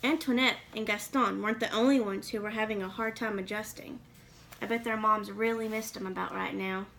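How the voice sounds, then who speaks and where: A woman's voice: medium pitch, fairly even intonation, a little fast, creaky, a bit bright, slight background noise. A woman reading a children's picture book aloud expressively, with questioning asides to young listeners. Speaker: read-aloud reader.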